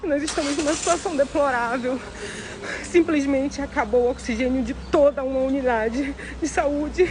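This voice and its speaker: A woman's voice: sits high, normal speed, noisy background. A distressed woman making a pleading public appeal for help.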